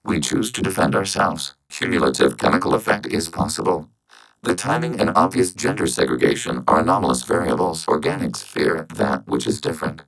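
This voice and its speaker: A man voicing a calm, logical video game robot who speaks for a machine collective, with measured, clinical delivery and synthetic processing. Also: very deep voice, vocal fry, slight background noise, exaggerated voice, low-quality recording. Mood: detached, earnest. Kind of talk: scripted science fiction video game dialogue.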